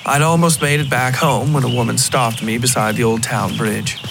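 A man narrating a scripted first-person story in a measured, literary storytelling style. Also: medium pitch, fairly even intonation, creaky, background noise. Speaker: fiction podcast narrator.

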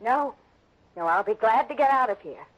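An actor playing a calm, composed young heiress who presses the detective with questions, in a 1960s radio drama. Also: female voice, a little high, normal speed. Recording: dull lo-fi old radio broadcast.

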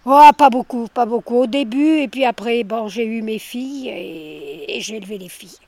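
A woman's voice: a little high, moderate pace, a little mellow, dry recording. An older woman from France chatting outdoors by her garden during a street interview, talkative and emphatic, often repeating her words. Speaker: villager stopped for a street interview. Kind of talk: casual roadside chat.

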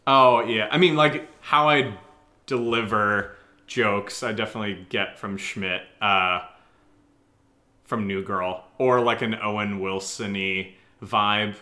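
A man's voice: fairly low pitch, fairly even intonation, slow, pushed and bright. A man co-hosting a casual Q&A, talking in a rambling way peppered with "like" as an audio drama writer and actor. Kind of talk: casual Q&A chat.

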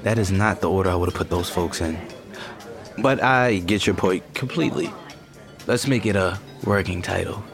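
An eager, placating man making a pitch in an audio drama. His voice is fairly low, with music underneath. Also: normal speed. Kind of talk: audio fiction drama acting.

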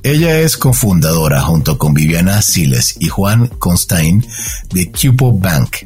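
Deep-voiced male host, storyteller delivery. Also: a little mellow. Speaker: podcast co-host. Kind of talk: storybook-style introduction, then interview questions. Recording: faint music behind.

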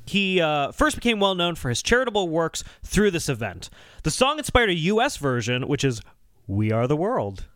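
A man hosting a podcast, explaining things in a casual, animated and wry conversational way. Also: a little high, normal speed, no echo, studio quality. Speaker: podcast host.

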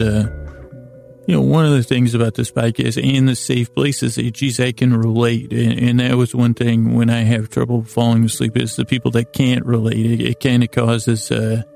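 A man hosting a sleep podcast with a lulling, languid bedtime monologue, meandering and halting, often stammering into false starts. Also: mid-range voice, a little mellow. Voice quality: gravelly, creaky. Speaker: podcast host. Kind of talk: rambling bedtime monologue to help listeners sleep.

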